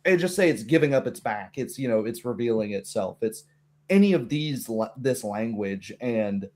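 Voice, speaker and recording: A man talking casually as a game master about a tabletop roleplaying session, in an animated, rambling way. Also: mid-range voice, moderate pace.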